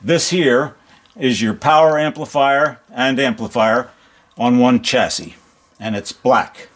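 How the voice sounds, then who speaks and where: A man's voice: mid-range voice, slow. A man giving a casual, unscripted technical demonstration as a collector, explaining things as he goes inside a home. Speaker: antique radio collector demonstrating his sets.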